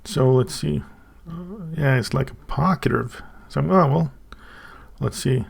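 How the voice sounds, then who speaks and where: Gravelly man with a Swedish first language. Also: medium pitch. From Sweden. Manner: halting, thinking aloud with many restarts. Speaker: channel host. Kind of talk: casual unboxing review.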